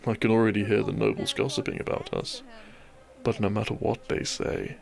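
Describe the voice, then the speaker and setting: A man acting a gentle, devoted country doctor and bridegroom in a romantic audio drama, soft-spoken and formal in his wording. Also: lowish voice, level tone, a little fast, vocal fry, faint music behind. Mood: tender, curious.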